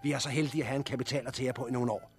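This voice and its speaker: An actor playing a blunt, forceful, foul-mouthed leader of disaster survivors in a 1960s Danish radio drama, with a gruff, persuasive way of speaking. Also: male voice, medium pitch, little pitch movement, soft background music, close to an everyday voice. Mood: urgent, persuasive.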